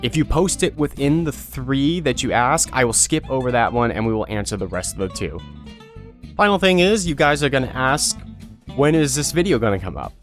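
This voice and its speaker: A man's voice: medium pitch, lively intonation, normal speed, faint music behind. A man speaking informally and directly to viewers as an online creator, explaining guidelines in a casual video announcement.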